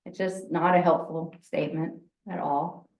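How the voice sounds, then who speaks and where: Loud, chatty woman teaching in an echoey room. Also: little pitch movement, normal speed. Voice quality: lower voice. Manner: loud, chatty, laughs often. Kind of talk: informal workshop talk. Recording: echoey room, distant mic, dull sound.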